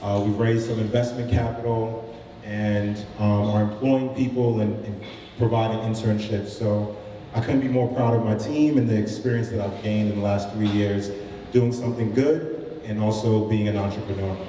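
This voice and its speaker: Casual man pitching in a roomy hall. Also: fairly even intonation, moderate pace, warm tone, a little hiss or hum. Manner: casual, many fillers and restarts. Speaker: startup founder giving a short talk. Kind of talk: informal pitch talk to a live audience. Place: event room with audience. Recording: roomy hall, mic from a distance.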